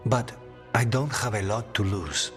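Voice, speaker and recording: Low-voiced, weary assassin leader. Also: male voice, a little slow, fairly bright tone, faint music behind. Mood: weary, resolute. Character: weary, loyal male assassin leader, dryly resigned. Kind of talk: video game voice acting.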